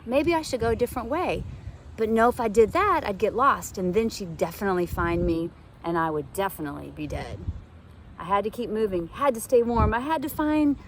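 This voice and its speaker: Woman reading a suspenseful story, noisy background. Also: mid-range voice. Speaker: teacher reading a novel aloud to students. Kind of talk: expressive storybook read-aloud.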